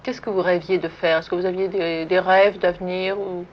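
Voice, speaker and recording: Low-voiced woman interviewer, archival sound. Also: level tone, moderate pace. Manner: composed, probing follow-up questions. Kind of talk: measured broadcast interview questions. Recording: dull archival broadcast audio with faint hiss.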